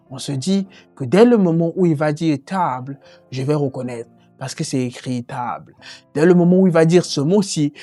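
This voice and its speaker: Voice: mid-range voice, dark tone, no echo. A voice narrating a French-language lesson on learning English, earnest and explanatory. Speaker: language teacher.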